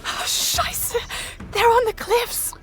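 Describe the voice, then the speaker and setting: An actress playing a tough, hot-tempered young survivor in an audio drama, a German speaker, sharp, commanding and urgent. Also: female voice, very high-pitched, lively intonation, slow pace, exaggerated voice. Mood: urgent alarm.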